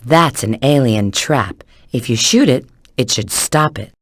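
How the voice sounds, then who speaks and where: A cool, flirty female bat treasure hunter from a video game. She gives knowing instructions in a very lively, swooping delivery. The sound is close and dry. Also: a bass voice, measured pace, no echo, full character voice. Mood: cool, instructive. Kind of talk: video game character voice acting.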